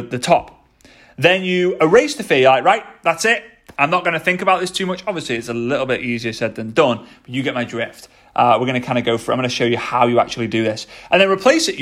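A man's voice: fairly high pitch, a bit bright. A man in his thirties hosting a motivational podcast, speaking with energy and repeating key phrases for emphasis. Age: 30s. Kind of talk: motivational talk.

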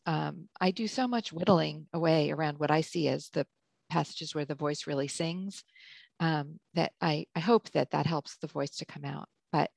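Woman, low-pitched, faint Hungarian tinge, editor and writing coach, thoughtful, lots of ums, dry close sound. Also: gravelly, dry recording. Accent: slight Hungarian-tinged English. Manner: thoughtful, frequent ums and restarts. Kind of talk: conversational interview answer.

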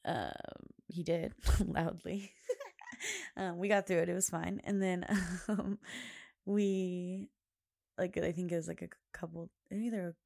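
A woman hosts her own podcast, talking loosely and at length in a loud voice that carries. Her tone sits low, with a gravelly fry, and it sounds dry and close. She rambles through fillers and laughs often. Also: steady tone, takes it slowly, pauses often, no echo. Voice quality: loud, carrying voice. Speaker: podcast host. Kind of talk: casual chat.

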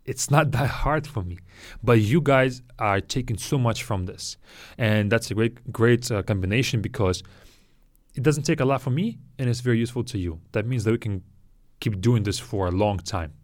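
A man who is a native Russian speaker, hosting a podcast for learners, speaking slowly and repeating words to explain them. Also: medium pitch, no echo, clean studio sound. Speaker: Russian teacher and podcast host. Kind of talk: slow teaching podcast, Russian with English glosses.

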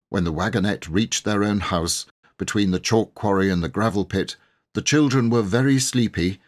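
A man narrating a children's story from a script as an audiobook reader. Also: fairly low pitch.

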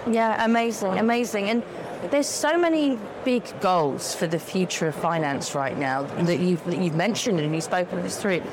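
A woman's voice: fairly low pitch, normal speed. A woman hosting a podcast interview live at a conference, enthusiastic and affirming toward her guest. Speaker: podcast host. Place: conference event. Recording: noisy live event floor.

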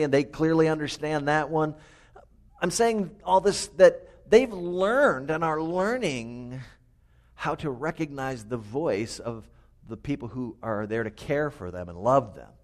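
A middle-aged man with a loud, resonant voice preaching a sermon in a small chapel over a microphone, telling personal stories with humor. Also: a little high. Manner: lively, personal storytelling with humor. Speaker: pastor.

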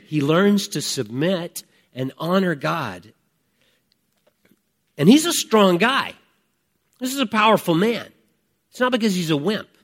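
A man in his fifties preaching a conversational sermon, reflective and emphatic, with deliberate pauses and repeated phrases. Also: high-pitched, lively intonation. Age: 50s. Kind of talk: conversational sermon with personal testimony.

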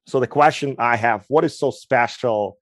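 A man in his forties from Ukraine, with a Ukrainian accent, answering interview questions in a measured, explanatory way. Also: mid-range voice, dry recording, clean studio sound. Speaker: guest, quantitative researcher.

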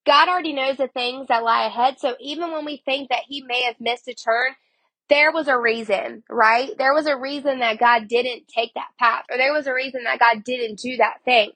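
Woman, high voice, sniffly from a cold, chatty devotional host, dry close sound. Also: steady tone, normal speed, fairly bright tone, dry recording. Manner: chatty, heavy use of "like". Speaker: podcast host. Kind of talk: casual devotional talk.

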